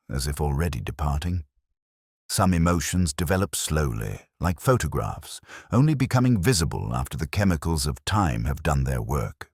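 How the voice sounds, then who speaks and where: Very deep, brooding detective narrator played by a man. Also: slightly rough, dry recording, studio quality, exaggerated voice. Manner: measured, literary, reflective. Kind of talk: scripted first-person detective narration, audio drama.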